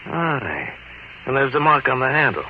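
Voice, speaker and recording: An actor playing a bold, scheming sea captain who also narrates, in an old-time radio adventure style on a muffled recording. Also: male voice, mid-range voice, sing-song, normal speed, a little hiss or hum. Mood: eager.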